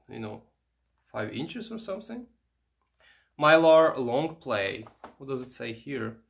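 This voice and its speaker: A man giving casual, unscripted show-and-tell commentary, halting and musing aloud as he handles things. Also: medium pitch, a bit bright, slight background noise. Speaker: vintage electronics vlogger.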